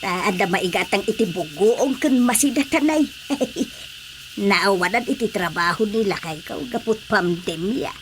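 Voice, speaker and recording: Slow-talking, chatty woman farm vendor played by an actress. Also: mid-range voice, lively intonation, noisy background, close to an everyday voice. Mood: proud, chatty. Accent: Ilocano. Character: chatty female organic farm vendor proud of produce. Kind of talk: Ilocano radio drama comedy acting.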